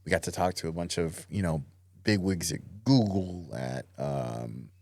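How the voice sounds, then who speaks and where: A man's voice: deep voice, unhurried, a little mellow, no echo, studio quality. A man talking casually as a podcast guest, easygoing, with lots of "you know" and fillers. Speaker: podcast guest, Marine veteran. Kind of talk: casual interview conversation.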